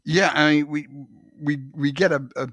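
A man hosting a podcast, talking through literature in a conversational way with halting, hesitant phrasing and repeated words. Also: on the high side, steady tone, a bit bright, no echo. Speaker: podcast host.

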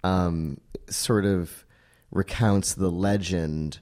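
A man's voice: deep voice, fairly even intonation, extremely slow, darkish tone. A man hosting an interview show, asking laid-back, rambling questions. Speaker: podcast host. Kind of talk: casual interview.

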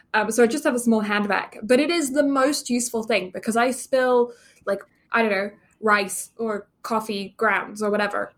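A young woman with a blended English and Canadian accent, co-hosting a casual podcast chat and laughing often. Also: mid-range voice. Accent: mixed English and Canadian. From England, raised in Canada. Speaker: podcast co-host.

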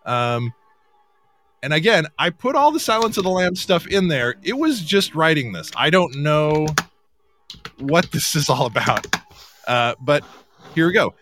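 Higher-pitched man hosting a casual podcast. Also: very animated, a little slow, pauses often, a bit bright. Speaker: podcast host. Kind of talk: casual live podcast chat.

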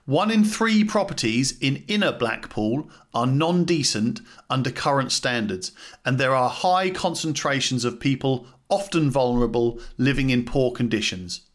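A man's voice: mid-range voice, animated, normal speed. A man who hosts a podcast, reading a formal document aloud as scripted narration. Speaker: podcast host.

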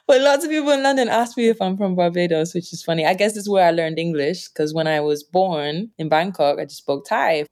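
A young woman answering interview questions, telling personal stories in a relaxed, chatty way and laughing often. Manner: animated, laughs often, jumps up in pitch when quoting others. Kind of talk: reflective conversational storytelling.

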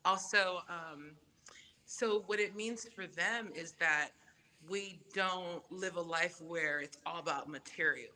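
Woman, podcast guest, from Samoa, first language Samoan, bright clear tone, emphatic casual talk. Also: fairly low pitch, slow. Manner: lively, emphatic storyteller.

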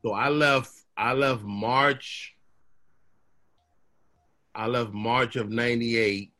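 A man's voice: mid-range voice, takes it slowly. A man from Chicago who is a comedian, telling stories in casual conversation and acting out other people's voices. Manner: animated storyteller, acts out voices.

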